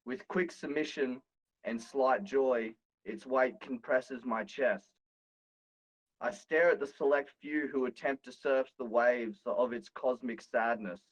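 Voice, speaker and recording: Casting: man, medium-pitched, sweary joker for loose podcast banter and amateur poetry reading. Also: dull audio. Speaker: podcast guest reading own poem.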